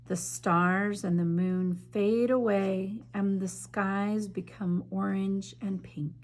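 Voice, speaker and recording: A woman teacher reading a picture book aloud in a gentle, measured way, then chatting enthusiastically about its illustrations. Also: medium pitch, fairly even intonation. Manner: gentle, measured reading with soft drops in volume; enthusiastic, chatty when discussing the art. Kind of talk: slow storybook read-aloud, then art discussion.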